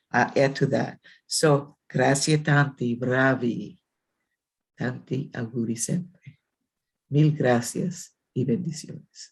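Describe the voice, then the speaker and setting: A woman's voice: very deep voice, creaky, breathy edge. Older woman, rambling ceremonial speech. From Dominican Republic. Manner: warm, rambling, many fillers and restarts. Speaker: college president. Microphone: video call.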